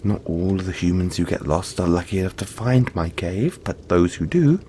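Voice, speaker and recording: A man playing a huge, gentle, cuddly yeti boy in an intimate audio roleplay, talking politely and haltingly to reassure the listener. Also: low voice, lively intonation, slight background noise. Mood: gentle, explanatory. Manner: soft-spoken reassurance, halting, polite and chatty.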